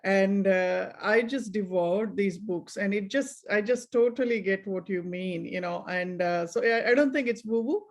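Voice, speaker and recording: A woman's voice: medium pitch, moderate pace, hardly any pauses. A woman hosting a podcast, interviewing in a casual, conversational style. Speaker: podcast host.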